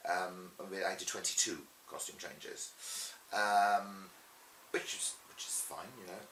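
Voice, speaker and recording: A middle-aged man with a Welsh accent, chatting casually as an interviewed stage performer and telling stories in a lively, chatty way. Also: deep voice, slow. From Swansea, Wales. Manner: chatty, animated retelling with acted-out quotes. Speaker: pantomime dame and cabaret performer being interviewed. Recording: roomy echo with faint hiss.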